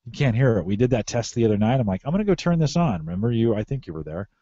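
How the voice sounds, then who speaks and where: A man's voice: mid-range voice, animated, very fast, warm tone, dry recording, highs cut off. A middle-aged American man hosting a casual tech podcast, repeating phrases for emphasis. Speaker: podcast host. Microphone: close podcast microphone through mixer.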